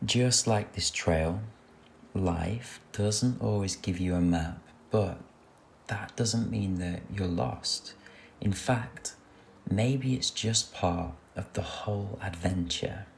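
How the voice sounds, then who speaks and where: A man leading a guided meditation with gentle, soothing, unhurried instruction. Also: lowish voice, gravelly, a little hiss or hum. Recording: soft music under the voice. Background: music.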